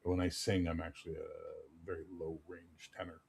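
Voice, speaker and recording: A man with a speaking baritone hosting a casual panel chat, talking loosely and swearing freely. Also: monotone, moderate pace, creaky, darkish tone, husky. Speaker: host.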